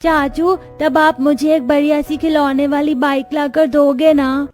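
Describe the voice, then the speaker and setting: Woman doing high-pitched pouting, cheeky child. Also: little pitch movement, unhurried, darkish tone, faint music behind. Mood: pleading.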